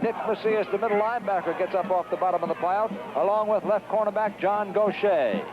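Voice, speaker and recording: An American man doing live radio play-by-play of high school football, describing the action vividly in short, clipped phrases. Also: fast talker. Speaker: play-by-play announcer. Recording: dull, noisy 1970s radio broadcast audio.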